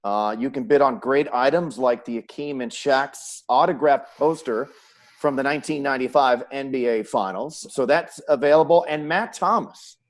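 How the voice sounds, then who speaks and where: A man's voice: mid-range voice, moderate pace. A man hosting a casual sports chat, putting questions to guests. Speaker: host, sports announcer.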